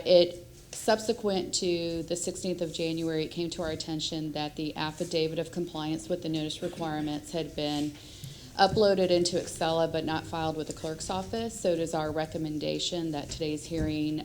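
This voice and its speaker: A woman from the city attorney's office giving a measured, precise legal briefing to council. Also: low voice, darkish tone, slight background noise.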